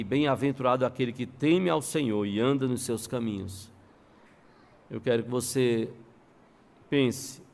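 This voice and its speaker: Casting: Brazilian man, church pastor, mid-pitched and fry-edged, emphatic storyteller who drives points home by deliberate repetition. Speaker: pastor preaching. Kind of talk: sermon with storytelling and quoted dialogue. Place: church service before a congregation.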